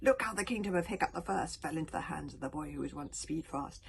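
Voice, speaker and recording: A woman's voice: sits low, lively intonation, fast, creaky, a little hiss or hum. A woman voicing a huge, furious male dragon who roars accusations in a bitter, resentful tone, as part of a storybook reading. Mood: brooding. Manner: roaring, accusing.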